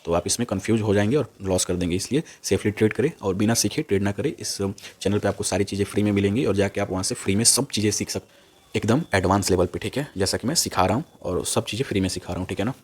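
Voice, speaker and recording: A young man giving an informal, unscripted tutorial, repeating himself and often tagging phrases with a quick check word. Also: on the low side, slightly rough. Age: young adult. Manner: repetitive, with a frequent "okay" tag after phrases. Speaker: stock trading tutor on YouTube.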